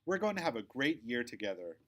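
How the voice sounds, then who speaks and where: A man's voice: mid-range voice, big pitch swings, brisk, a bit bright. A man voicing a bossy, snobbish young girl with a haughty, emphatic manner in a children's storybook. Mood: condescending. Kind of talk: children's storybook read-aloud.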